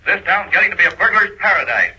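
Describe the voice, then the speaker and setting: Rapid, raspy agitated caller. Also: male voice, high voice, expressive, bright, forceful tone, heavily acted. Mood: indignant. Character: agitated, indignant male caller reporting trouble. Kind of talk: vintage radio crime drama acting. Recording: muffled, tinny old-time radio recording.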